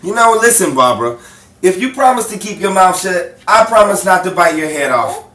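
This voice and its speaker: A male radio actor with a sharp, forceful voice jokes around between line readings. His delivery is lively and playful, recorded a little distant in a roomy space. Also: sits high, normal speed. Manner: joking, playful. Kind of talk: casual rehearsal banter and line reading. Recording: echoey room, off mic, faint noise.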